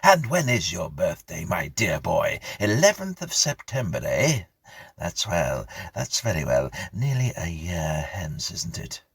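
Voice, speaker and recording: Guy doing a fussy old english-storybook gentleman, keen and kindly, husky and gravelly. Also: low voice, big pitch swings, a little slow, no room sound, studio quality, exaggerated voice. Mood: eager, fussy. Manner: effusive, repetitive, fussy questioning. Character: tall, thin, austere old gentleman, eagerly kindly and fussy.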